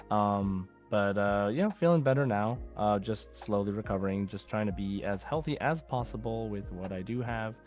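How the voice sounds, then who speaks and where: A man's voice: lowish voice, darkish tone. A man hosting a podcast, chatting informally and conversationally about a video game. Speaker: podcast host.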